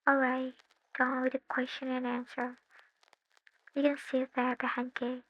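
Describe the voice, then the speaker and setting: A calm, orderly male teacher, read by a woman in her own high voice. He speaks slowly and evenly, with hardly any rise or fall. The sound is a touch dull.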